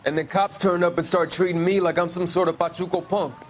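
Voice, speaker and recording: A man's voice: high-pitched, level tone, fairly quick, slight background noise, natural voice. An actor playing a defiant, wary Mexican-American ex-serviceman in a 1940s crime video game, streetwise and guarded. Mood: indignant. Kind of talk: dramatic video game acting.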